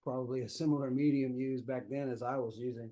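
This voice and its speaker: Man, South Louisiana accent, folksy conversational lecture, mellow voice, laptop microphone. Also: mid-range voice, steady tone, fast. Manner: folksy, easygoing storytelling. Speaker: author and historian giving a talk. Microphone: laptop microphone on a video call.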